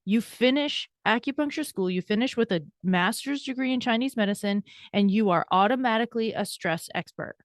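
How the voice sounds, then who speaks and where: A woman in her forties, a burnout coach and guest on a podcast interview, telling her story in a candid, brisk, self-deprecating way. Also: fairly high pitch, dry recording, clean studio sound.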